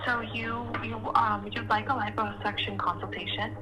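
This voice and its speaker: A woman's voice: mid-range voice, fairly even intonation, normal speed, very noisy. A woman working as a clinic receptionist, speaking politely and hesitantly on a customer service phone call. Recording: phone call with music underneath. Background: music.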